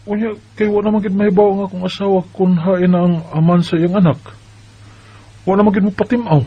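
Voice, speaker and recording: An actor playing a devoted, playful young husband in a sentimental radio drama, tender and earnest. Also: male voice, high-pitched, little pitch movement, brisk. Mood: earnest, concerned. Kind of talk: sentimental radio drama acting with first-person narration. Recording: dull lo-fi radio sound with music.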